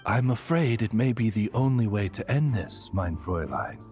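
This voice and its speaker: A man's voice: fairly low pitch, very animated, moderate pace, darkish tone, faint music behind. A man voicing a courtly, soothing retainer in a video game, polite, formal and reassuring. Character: courtly, soothing male retainer to a young lady. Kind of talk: video game character acting.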